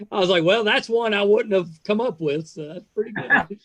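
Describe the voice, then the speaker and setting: A man's voice: high-pitched, moderate pace. A middle-aged man hosting a casual interview, speaking in a folksy, chatty way. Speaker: podcast host.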